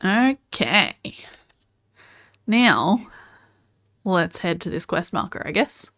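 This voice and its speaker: A woman's voice: fairly low pitch, sing-song, slow pace, fairly bright tone, no room sound, clean studio sound. An Australian woman chatting casually while streaming gameplay and answering viewers' comments.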